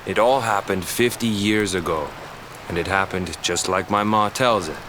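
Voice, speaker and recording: A man's voice: deep voice, normal speed, noisy background, close to an everyday voice. An actor voicing an earnest young island fisherman in a video game, speaking in a plain, colloquial way. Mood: grave storytelling. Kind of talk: scripted video game voice acting. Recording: loud game music behind the voice. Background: loud music.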